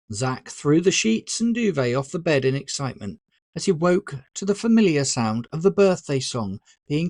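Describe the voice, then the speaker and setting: An author narrating a story in an expressive, deliberate, scripted audiobook style. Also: mid-range voice, dry recording, studio quality.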